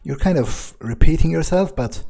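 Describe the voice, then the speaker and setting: A man's voice: fairly high pitch, lively intonation, normal speed, creaky, warm tone. A man improvising in-character commentary for a game playthrough, with a hesitant, musing and sardonic way of talking. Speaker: gamer role-playing his character.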